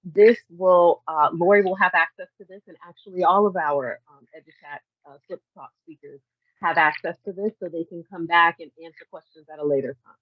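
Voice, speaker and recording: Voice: mid-range voice, steady tone, fairly quick, dry recording. Upbeat chatty webinar co-host. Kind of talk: hosting a webinar. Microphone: video call. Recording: dull, dry video-call audio.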